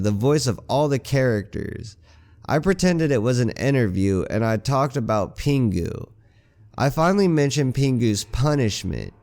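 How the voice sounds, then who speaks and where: A man with a raspy voice from a cold, reading a horror story aloud as a channel narrator in a slow, grim way. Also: medium pitch, darkish tone. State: hoarse with a cold. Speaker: creepypasta narrator.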